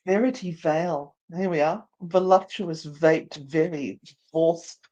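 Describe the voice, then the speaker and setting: A woman's voice: on the low side, takes it slowly, mellow, highs cut off. A woman co-hosting and narrating a live dramatic story reading, playful and theatrical, slipping into chatty banter. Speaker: co-host and narrator.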